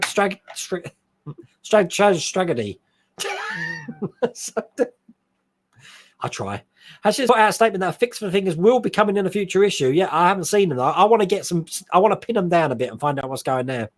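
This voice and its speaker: A man hosting a relaxed live stream from his hobby room at home. His voice sits high for a man and swings up and down a lot. He reads out viewer messages and answers them in a rambling, chatty way. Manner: chatty, rambling, quick laughs. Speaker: host. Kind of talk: casual live-stream chat reading viewer comments.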